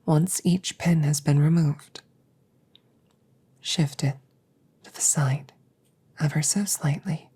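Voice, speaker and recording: A woman playing a calm, reassuring damaged female android in an ASMR audio roleplay, speaking in soft, breathy whispers with measured, formal phrasing. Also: low-pitched, exaggerated voice. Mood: calm, instructing. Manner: slow, measured, precise formal phrasing with pauses.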